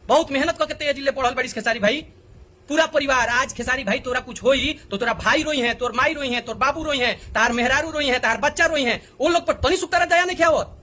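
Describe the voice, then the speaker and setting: A man's voice: very high voice, quick pace, pushed and bright. A man with a Bhojpuri accent making an indignant, pleading direct appeal, often repeating himself for emphasis. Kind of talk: agitated direct appeal in a video.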